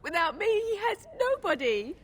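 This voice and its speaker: A woman's voice: very high voice, sing-song, normal speed. An actress voicing a worried, tearful young village girl in a fantasy video game. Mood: anxious, tearful. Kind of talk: video game character dialogue.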